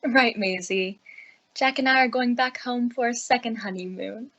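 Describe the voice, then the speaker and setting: A woman's voice: a little high, normal speed, fairly bright tone, muffled recording. A woman playing a wavering, lovelorn young wife in an old-time radio drama. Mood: softened.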